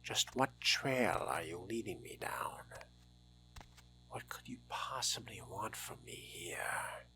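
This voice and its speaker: Low, slow, theatrical gentleman vampire, played by a man. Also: lively intonation, whispered, heavily acted. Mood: hushed, brooding. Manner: formal, archaic wording, dry and theatrical, often murmuring. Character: refined, sardonic male fledgling vampire exploring a haunted hotel. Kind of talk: in-character video game roleplay commentary.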